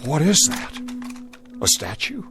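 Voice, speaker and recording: An actor playing a blunt, skeptical Confederate soldier with a Southern American drawl in an audio drama. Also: male voice, on the high side, wildly swooping pitch, slow pace, over music, heavily acted. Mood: bewildered. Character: gruff, skeptical Confederate soldier, younger man. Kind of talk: old-time radio-style drama acting.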